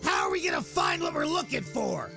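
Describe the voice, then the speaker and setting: A man voicing a sour, sarcastic space rogue with a harsh gravelly rasp in an audio drama. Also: very high-pitched, wildly swooping pitch, a little fast, over music, heavily acted. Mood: exasperated. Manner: snapping, sarcastic. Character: sour, gruff, foul-mouthed male space villain turned reluctant ally.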